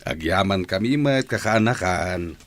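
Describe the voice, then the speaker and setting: A man playing a fond but worried middle-aged father and uncle in a radio drama, with a gravelly, husky voice. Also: sits low, animated, a little slow, slight background noise. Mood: fond, warm.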